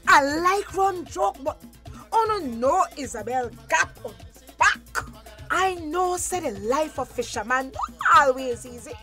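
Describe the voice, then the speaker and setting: Brassy, high-pitched Belizean bar woman. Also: sing-song, unhurried, bright, forceful tone, soft background music, exaggerated voice. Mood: cheerful. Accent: Belizean Creole. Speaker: radio drama actor. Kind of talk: radio drama acting.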